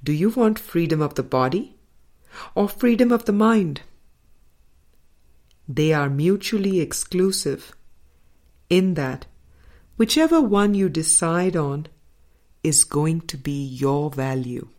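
A woman narrating a spiritual audiobook from a script, in measured, deliberate phrases with frequent pauses. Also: low voice, expressive, darkish tone. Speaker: audiobook reader.

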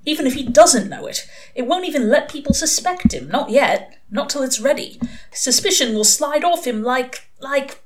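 A woman's voice: sits high, expressive, moderate pace, noisy background, pitched above the performer's own voice. A woman voicing an irritable, worldly male demon in a storybook reading, blunt, flippant and sardonic. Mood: exasperated.

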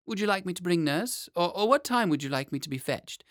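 A man's voice: high voice, animated, fairly quick, a bit bright, dry recording, clean studio sound, pitched above the performer's own voice. A man voicing a polite, fidgety, innocent little boy in a storybook tale. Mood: polite, eager.

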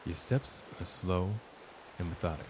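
A man narrating a scripted guided meditation as its host, deliberate and soothing, leaving long pauses between short phrases. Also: sits low, noisy background.

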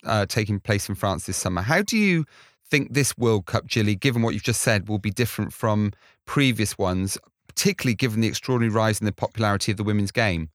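A man's voice: on the low side, quick pace, dry recording. Male podcast host, close dry sound. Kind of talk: scripted introduction, then conversational interviewing.

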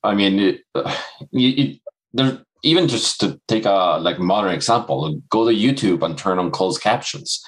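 Man, very low pitch, halting and repetitive delivery. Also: animated, rough recording. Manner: halting, many restarts. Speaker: panelist. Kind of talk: casual panel discussion.

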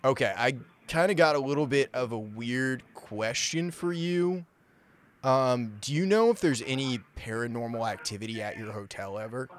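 A man chatting casually and informally, in a halting, hesitant way. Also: medium pitch, slight background noise. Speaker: prank caller and podcast guest. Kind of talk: casual, hesitant phone chat.

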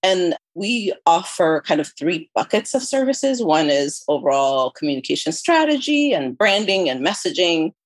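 A woman with a notably low voice speaks as a podcast guest. She explains things reflectively in a conversational way, and the sound is very dry and close, with little room to it. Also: sing-song, normal speed, few pauses, no echo. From born in Ethiopia, moved to the US at about eleven. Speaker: podcast guest, consulting firm chief executive. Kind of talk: conversational interview answers.

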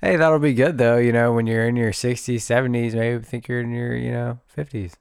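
A man's voice: mid-range voice, steady tone, brisk, vocal fry, smooth, dry recording, clean studio sound. A man co-hosting a podcast, talking in loose banter and laughing often. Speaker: podcast co-host. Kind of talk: casual chat.

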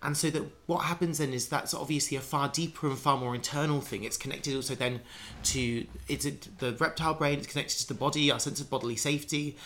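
A man's voice: mid-range voice, fairly even intonation, quick pace, pushed and bright, a little hiss or hum. A man in casual conversation, musing at length with many restarts and fillers. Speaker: conversation partner.

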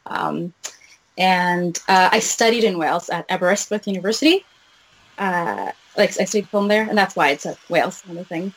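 Husky young woman from Norway. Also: lowish voice, normal speed, low-quality recording. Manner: relaxed, hesitant, many "uh" and "like". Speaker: film director, guest. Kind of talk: casual interview answers.

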